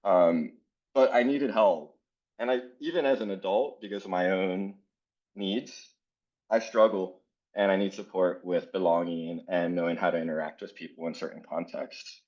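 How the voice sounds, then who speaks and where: A man from South Florida leads a workshop for teachers in a very low voice. He talks in a casual, earnest way and fills his pauses with "um." The audio is dull and lo-fi, like a video call. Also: fairly even intonation, a little mellow. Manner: casual, many fillers, earnest. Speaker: educator leading a workshop. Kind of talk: conference workshop presentation.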